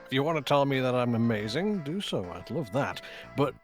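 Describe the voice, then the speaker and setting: A man with a mid-pitched voice chats casually as a guest. His delivery is dry and self-deprecating, with music clearly playing underneath. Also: expressive, fairly quick. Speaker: guest voice actor. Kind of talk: casual interview chat.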